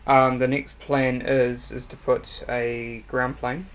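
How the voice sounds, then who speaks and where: A young man with a New Zealand accent, giving a casual, hesitant hobbyist demonstration with frequent pauses. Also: mid-range voice, flat delivery. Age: young adult. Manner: hesitant, halting delivery with pauses. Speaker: hobbyist video maker. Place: small cluttered room at home, indoors. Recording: noisy amateur home recording.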